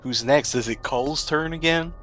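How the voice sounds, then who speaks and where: A man's voice: a little high, moderate pace, a bit bright, faint music behind. A man running a tabletop role-playing game among friends as game master, narrating the action and ruling on dice.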